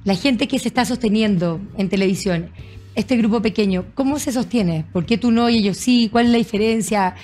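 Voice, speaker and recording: A woman's voice: medium pitch, moderate pace, darkish tone, faint music behind. A middle-aged woman with a Chilean accent, hosting a studio interview in a direct, probing, conversational way. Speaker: talk show host. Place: TV studio, seated in armchair.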